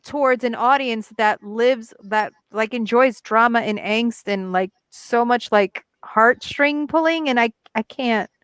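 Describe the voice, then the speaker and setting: A woman's voice: on the high side, a little slow, hardly any pauses, a bit bright, clear, resonant tone, dry recording. A woman who is a Portuguese speaker, co-hosting a casual fan podcast in a chatty way with easy laughter. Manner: chatty, laughs easily, many "like" fillers. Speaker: podcast co-host. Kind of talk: casual chat.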